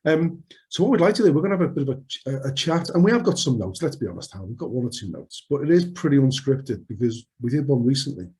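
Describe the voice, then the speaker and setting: A man's voice: mid-range voice, big pitch swings, fast, hardly any pauses, darkish tone. A middle-aged man with a Liverpool accent co-hosting an unscripted podcast, jokey and rambling with frequent restarts. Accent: Liverpool (Scouse). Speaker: podcast co-host.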